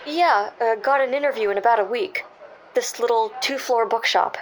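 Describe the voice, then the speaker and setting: Audio drama role for a woman: shaken young woman, wry and unsure of herself, higher-pitched, naturalistic, faintly noisy recording. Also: normal speed, natural voice. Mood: casual. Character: shaken, self-doubting young woman, wry among friends.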